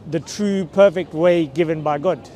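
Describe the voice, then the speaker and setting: A man's voice: a little high, moderate pace, warm tone. Persuasive man preaching in the street. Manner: persuasive, questioning, repeats "right?" and "yeah?". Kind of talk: street conversation. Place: busy outdoor city plaza. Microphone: camera mic from a few meters.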